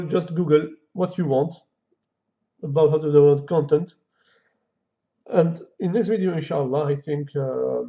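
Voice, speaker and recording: Gravelly man teaching code. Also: on the high side, dark tone. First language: Kabyle or Arabic. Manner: halting non-native English, thinking aloud with many fillers. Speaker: programming tutor. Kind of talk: casual screen-recorded coding tutorial. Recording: dull lo-fi home recording.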